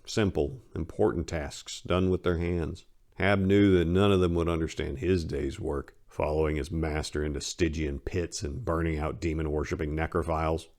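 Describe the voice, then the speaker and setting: A man's voice: a bass voice, darkish tone. A man giving a dramatic, scripted narration of a story. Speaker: author-narrator.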